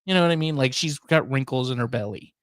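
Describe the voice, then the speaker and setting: A man chats casually as a podcast host in a medium-pitched voice. His delivery is unscripted, wry and a little hesitant, rambling through his thoughts. The recording is dry, without room echo. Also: animated, quick pace.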